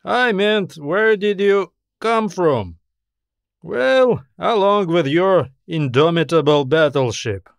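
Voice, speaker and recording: A genial old scientist played by a male narrator in a sci-fi audiobook, speaking in a raised pitch with very little room sound. He is polite and wry, making a sly show of being forgetful and humble. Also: lively intonation, takes it slowly, creaky, dry recording, full character voice. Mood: amused, wry. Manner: mild, polite, slyly self-deprecating.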